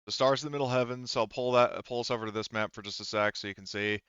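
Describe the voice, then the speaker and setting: A man explaining things as a tabletop game master, halting and thinking aloud in a casual way, on slightly dull audio. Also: medium pitch, fairly even intonation, a bit bright, clear, resonant tone, dry recording.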